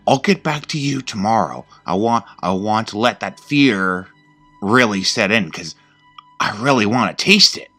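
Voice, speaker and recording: A gleeful, menacing cannibal from a horror story acted by a man. The voice sits well above a deep natural register, with a bright, forceful edge. He taunts and savors, hungry and sadistic, with music playing behind. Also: expressive, unhurried, exaggerated voice. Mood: gloating, savoring. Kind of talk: horror story character acting.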